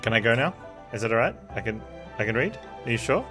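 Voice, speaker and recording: A man's voice: medium pitch, sing-song, fast talker, over music. A man streaming a video game, commenting casually and reading game text aloud.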